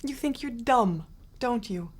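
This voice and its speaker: A woman voicing a kind male schoolteacher in a storybook, speaking softly and tenderly. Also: fairly high pitch, expressive, slightly rough, pitched above the performer's own voice, full character voice. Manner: soft, tender, slow-spoken.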